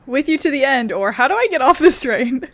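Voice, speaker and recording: A woman's voice: high voice, expressive, a little fast. A young woman giving casual, informal let's play commentary with a wry manner. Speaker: gaming video creator. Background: game music.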